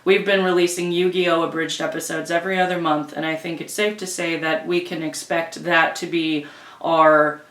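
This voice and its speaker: A woman's voice: deep voice, little pitch movement, normal speed, a bit bright. A woman giving a casual, conversational update to supporters as a co-host. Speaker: co-host and channel manager. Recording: echoey room, distant from mic.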